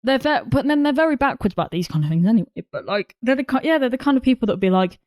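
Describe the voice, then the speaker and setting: A woman's voice: fairly high pitch, expressive, rapid-fire, a little mellow, no room sound, studio quality. A young woman co-hosting a film podcast, chatting casually in an informal, opinionated way. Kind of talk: casual chat.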